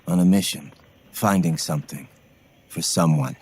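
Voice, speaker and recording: An actor playing a brooding, detached warrior in a video game, speaking in cryptic, clipped phrases with a husky rasp. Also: male voice, low-pitched, moderate pace, a little mellow. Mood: cold, cryptic. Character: brooding, detached male warrior on a secret mission. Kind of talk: video game cutscene acting.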